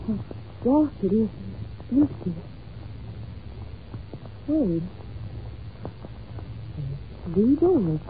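Muffled old-radio frightened young governess. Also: female voice, fairly high pitch, slight background noise. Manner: polite, breathless, melodramatic. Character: frightened, timid, well-mannered young governess. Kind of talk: old-time radio drama acting.

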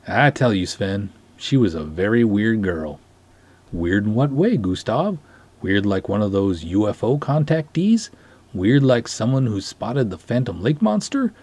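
A man's voice: on the low side, a little mellow, husky, heavily acted. A man voicing folksy, earnest canoe cops in a dramatic reading of a comic serial. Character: folksy earnest canoe cop recounting a strange encounter.

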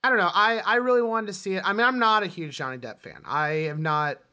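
Bright-voiced man in casual movie chat. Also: high voice, fast talker. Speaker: film podcast co-host.

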